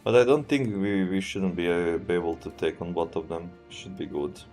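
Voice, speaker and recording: A man with a Serbian accent, a streamer thinking aloud as he plays a strategy game in a relaxed, halting way and answering chat. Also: low voice, soft background music. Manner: relaxed, thinking aloud, often trails off and restarts. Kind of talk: live gameplay commentary.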